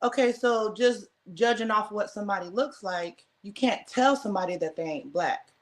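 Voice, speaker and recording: A woman's voice: mid-range voice, normal speed. Firm, emphatic American woman. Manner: firm, emphatic, repeats points. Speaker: guest caller. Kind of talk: casual heated discussion.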